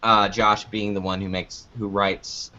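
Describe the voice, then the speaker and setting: A man's voice: on the low side, little pitch movement, measured pace, sharp tone, lo-fi sound. A man being interviewed about the videos he makes, chatting casually in a rambling, jokey, self-deprecating way. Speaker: interview guest, online video maker. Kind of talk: casual unscripted interview chat.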